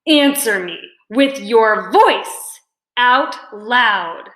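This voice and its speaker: Angry, bullying female principal as played by a woman reader for a children's storybook: slow, forceful, commanding shouts in a roomy recording. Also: a little high, lively intonation, exaggerated voice. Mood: angry, demanding.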